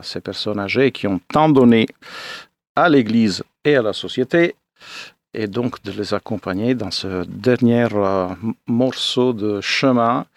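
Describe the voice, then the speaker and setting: Low-voiced man from Italy, in his fifties. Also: no room sound. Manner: hesitant, searching for words. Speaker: pastor, interview guest. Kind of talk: spontaneous radio interview.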